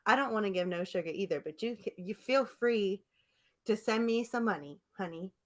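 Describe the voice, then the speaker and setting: A woman's voice: mid-range voice, moderate pace. A woman co-hosting a podcast in a casual chat, talking in a rambling, teasing way. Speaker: podcast co-host.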